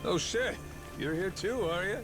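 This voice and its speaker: A man playing a brash, rough middle-aged pirate captain in a video game, slangy, cocky and theatrical. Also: high voice, animated, measured pace, over music. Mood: cocky, excited. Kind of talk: video game cutscene acting.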